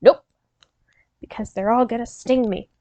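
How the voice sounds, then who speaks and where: A woman's voice: a little high, measured pace, warm tone, no room sound, natural voice. A woman voicing a skeptical, nervous child from a picture book, protesting dramatically. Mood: doubtful. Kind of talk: storybook character reading.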